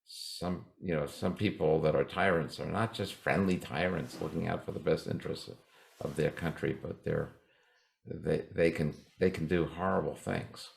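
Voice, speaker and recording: Man, 70s, very deep and gravelly; emphatic guest who slows down to stress a point. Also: fairly even intonation. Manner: emphatic, restarts often, slows for key lines. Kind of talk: interview talk.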